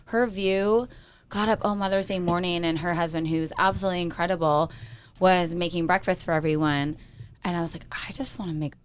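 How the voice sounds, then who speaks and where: A woman co-hosting a casual show, telling stories in a chatty, bubbly way, her voice a little raspy from yelling. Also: fairly low pitch, fairly even intonation, fairly quick, a little hiss or hum. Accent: American. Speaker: co-host. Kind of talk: casual chat.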